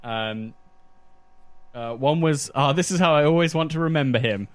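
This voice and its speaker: A man's voice: a little high, moderate pace, no room sound. A man chatting casually as a podcast co-host. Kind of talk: casual chat.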